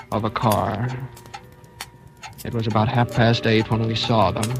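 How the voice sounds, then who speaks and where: An actor in a 1940s radio drama, narrating and playing a doctor in a tense, confiding manner. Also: male voice, fairly low pitch. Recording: old radio broadcast with music beneath.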